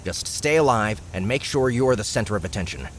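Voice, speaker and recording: A man's voice: on the low side, lively intonation, brisk, slight background noise, lightly acted. A man voicing a pragmatic male gamer who leads a fantasy party, bossy and dryly grumbling, in an audiobook. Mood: commanding. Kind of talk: audiobook character acting.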